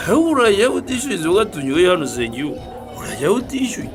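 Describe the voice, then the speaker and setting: An actor with a Rwandan accent playing an evasive, penniless drinker who haggles and protests stubbornly in a radio drama. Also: male voice, high-pitched, big pitch swings, fast. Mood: wheedling, defensive. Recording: music and bar chatter behind.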